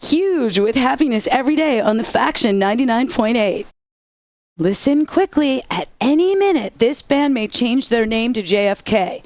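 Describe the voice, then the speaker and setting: A woman's voice: fairly high pitch, animated, moderate pace, darkish tone, dry recording. A woman radio DJ with sarcastic, wisecracking scripted patter, recorded close on a studio mic.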